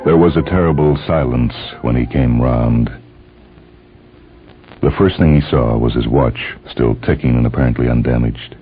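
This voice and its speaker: A man's voice: very deep voice, moderate pace. A man narrating a scripted dramatic story. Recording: muffled phone-like band, faint music behind.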